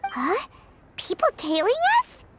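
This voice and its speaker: A woman's voice: very high-pitched, big pitch swings, slow, exaggerated voice. A woman voicing a tiny, perky, curious fairy companion in a video game, with a cutesy, exclamatory manner. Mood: surprised.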